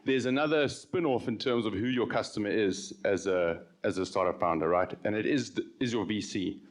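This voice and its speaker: Male VC panelist, lowish voice, relaxed conversational delivery. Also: brisk. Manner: relaxed, conversational, frequent ums. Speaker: venture capital managing director, panelist. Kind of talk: panel discussion.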